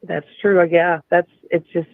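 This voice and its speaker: A man with a raspy voice, hoarse from being sick, chatting casually as a podcast co-host in a halting, agreeable way. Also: fairly high pitch, level tone, no room sound. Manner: halting, restarts often, agreeable. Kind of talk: casual chat. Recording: tinny phone line.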